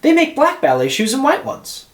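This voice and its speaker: A fair-minded, reassuring storybook dad played by a man, reasoning with questions. His pitch rises and falls freely in an echoing room. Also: wildly swooping pitch, normal speed, heavily acted. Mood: concerned, reassuring. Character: reassuring, fair-minded father of young boys. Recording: roomy, echoing sound.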